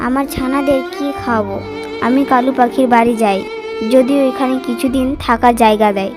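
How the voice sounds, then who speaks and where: A woman's voice: high-pitched, steady tone, fairly quick, over music, close to an everyday voice. A woman voicing a poor, anxious mother bird in a cartoon, with a pleading, plaintive manner. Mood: worried. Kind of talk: cartoon character dialogue.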